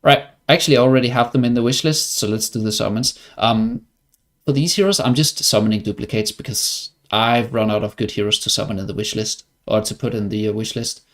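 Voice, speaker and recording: A man's voice: on the low side, steady tone, a little fast. A man from Denmark with a Danish accent, chatting casually and wryly as he comments on his livestreamed gameplay. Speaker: game streamer.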